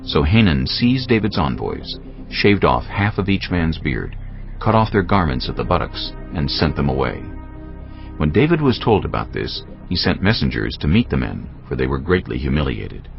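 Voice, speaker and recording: Very deep, gravelly male Bible narrator. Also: sing-song, moderate pace, lo-fi sound. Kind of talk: dramatized scripture narration. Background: background music.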